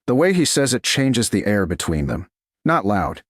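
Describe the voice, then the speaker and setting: A man reading scripted romance fiction as an audiobook narrator, in measured, dramatic short phrases. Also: lowish voice, sing-song, no echo, studio quality.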